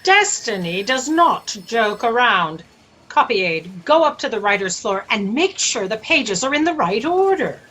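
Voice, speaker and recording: An actress as an imperious female editor-in-chief in a space satire. Her highish voice is bright and very animated, handing out orders briskly and curtly. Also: low-quality recording. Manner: brisk, commanding. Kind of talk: comic sci-fi audio drama acting.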